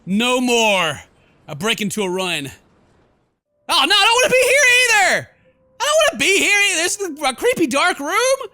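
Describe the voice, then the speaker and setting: High-pitched, animated male gaming streamer. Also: no echo. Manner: theatrical, excitable, jokey. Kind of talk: horror game playthrough, dramatic read-aloud and casual commentary. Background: game music.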